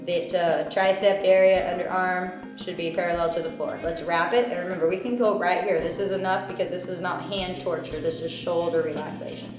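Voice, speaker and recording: Chatty yoga teacher in echoey studio. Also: female voice, lowish voice, fairly even intonation, fairly quick, hardly any pauses. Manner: chatty, joking asides between cues. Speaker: yoga instructor. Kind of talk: guided yoga class instruction. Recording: echoey room with background music.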